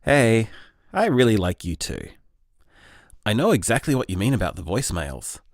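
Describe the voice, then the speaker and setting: A man playing a gentle, bashful, overthinking young boyfriend in a casual audio drama, mild and hesitant. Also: lowish voice, sing-song, normal speed. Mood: fond, earnest. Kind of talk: audio drama voicemail monologue, casual and conversational.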